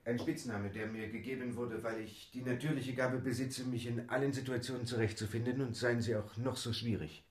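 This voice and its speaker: Man playing French manservant, medium pitch, French accent, deferential, earnest, talkative, radio play. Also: fairly even intonation, a little fast, few pauses, close to an everyday voice. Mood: deferential, eager. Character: eager, deferential, worldly French manservant seeking a position. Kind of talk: radio drama acting.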